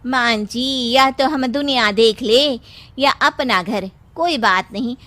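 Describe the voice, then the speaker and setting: A woman's voice: a little high, takes it slowly, sharp tone. A woman voicing a dutiful, determined young village daughter-in-law in a cartoon story, respectful and earnest.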